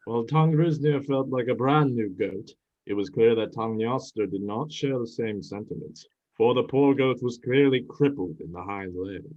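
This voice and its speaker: A man's voice: medium pitch, moderate pace, hardly any pauses, a little mellow, dull audio. A man reading scripted narration for a dramatized myth as its storyteller.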